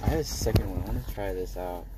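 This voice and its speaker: Host: man, lowish voice, hesitant and chatty, home podcast with background noise. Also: fast. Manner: hesitant, laughing, trailing off. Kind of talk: casual faith chat.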